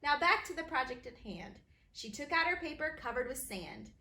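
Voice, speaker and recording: A woman teacher reading children's stories aloud in an expressive, sing-song way for young listeners. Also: high-pitched, normal speed. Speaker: kindergarten teacher reading her own stories. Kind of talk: animated children's storybook reading. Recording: roomy echo, faint background noise.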